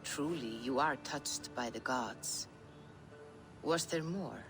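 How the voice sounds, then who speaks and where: An actress playing a wise Norse seeress in a cinematic video game, a calm spiritual guide who speaks in a measured, formal, probing way. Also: female voice, rumbling low, full character voice. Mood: reassuring, probing. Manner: measured, formal, attentive questioning. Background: music.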